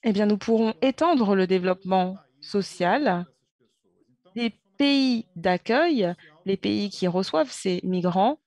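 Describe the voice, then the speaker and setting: A woman giving a simultaneous interpretation of conference speeches, halting, with pauses and self-corrections. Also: medium pitch, expressive, a little mellow, dry recording.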